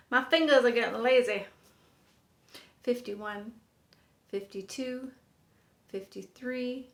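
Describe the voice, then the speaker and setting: A woman in her fifties teaching a sign language lesson, counting aloud and encouraging viewers in an upbeat way. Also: on the high side. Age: 50s. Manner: upbeat, encouraging, laughs at own slips. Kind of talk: counting aloud while demonstrating signs. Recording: echoey room.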